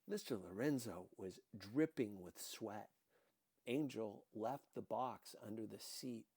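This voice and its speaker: A man reading a first-person novel aloud as an audiobook, with deliberate, dramatic expression. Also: on the low side, mellow, breathy edge, no room sound. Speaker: audiobook reader.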